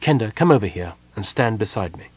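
Male reader doing a stern sorceress, measured and wary, a husky edge. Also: on the low side, lively intonation, no echo. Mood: cool.

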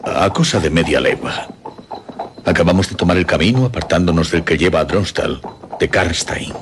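A man with a Castilian Spanish accent playing a formal, courteous but insistent gentleman in a radio drama. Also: low-pitched, normal speed, noisy background. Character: courteous, eager gentleman listening to an old friend.

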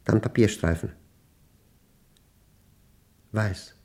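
An actor playing a brooding, obsessive mathematician who narrates his diary in a German radio play, speaking solemnly in deliberate, clipped fragments. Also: fairly low pitch, darkish tone, exaggerated voice. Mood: tense, obsessive.

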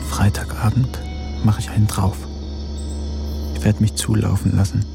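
An actor playing a defiant, self-destructive young outcast in a radio drama, with a gravelly voice and heavy delivery. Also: male voice, lowish voice, flat delivery, moderate pace. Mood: bitter bravado. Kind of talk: German radio drama monologue. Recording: loud music over the voice.

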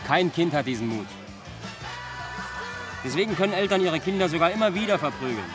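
A man acting didactic young know-it-all, highish voice, condescending, music behind, radio drama. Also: expressive, fairly quick, natural voice. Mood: lecturing. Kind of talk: German radio play acting.

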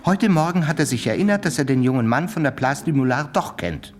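An actor playing a fussy, dignified hospital doctor in a German radio play, formal and touchy, often clearing his throat. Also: a little high, expressive, fairly quick, close to an everyday voice.

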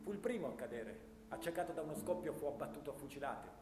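An actor playing a haunted young First World War soldier, declaiming grave memories in a theatrical radio drama monologue. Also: male voice, fairly high pitch, animated, fast talker, lightly acted. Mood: anguished, grave. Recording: echoey hall, guitar music behind. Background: guitar music.

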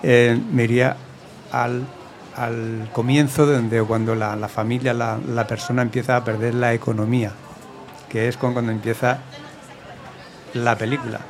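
A man giving his view in a discussion, haltingly, often repeating words and phrases. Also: medium pitch, level tone, over music. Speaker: panel participant. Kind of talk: unscripted discussion. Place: outdoors on a busy city street, live. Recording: clear outdoor city noise.

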